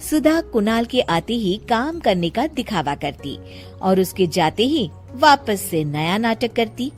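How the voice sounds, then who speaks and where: A woman's voice: on the high side, sing-song, a little slow, soft background music. Woman narrating a cartoon story.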